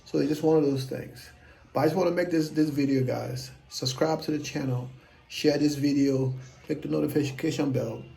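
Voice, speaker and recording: A man's voice: fairly high pitch, fairly even intonation, moderate pace, vocal fry, mellow, poor audio. A man in his fifties, talking casually to camera as an investing vlogger, blunt, self-assured and rambling. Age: 50s.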